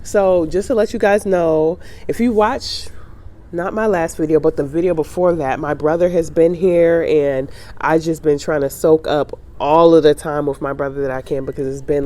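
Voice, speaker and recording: A woman's voice: low voice, dark tone. Nasal, congested woman in her thirties. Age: 30s. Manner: chatty, reflective, many fillers and restarts. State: getting over a sinus cold. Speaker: travel vlogger. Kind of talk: casual chat to camera.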